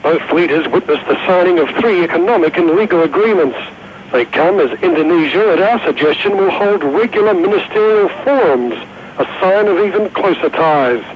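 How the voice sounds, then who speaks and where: A man files a television news report in a crisp, formal cadence, his voice pitched high. The sound is dull and carries a faint hiss, like an old broadcast tape. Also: lively intonation, normal speed. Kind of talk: scripted news report voice-over.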